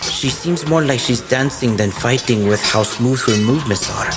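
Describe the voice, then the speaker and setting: An actor playing a team mechanic who narrates an action adventure in the first person. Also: male voice, medium pitch, normal speed, lightly acted. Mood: tense narrating. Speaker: character narrator. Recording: loud music bed. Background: loud music.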